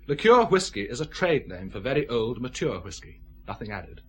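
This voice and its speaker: An actor playing a genial, proud boss of a small firm, chatty and expansive in an audio drama. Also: male voice, mid-range voice, big pitch swings, normal speed, a bit bright, breathy edge. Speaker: actor in a radio detective drama.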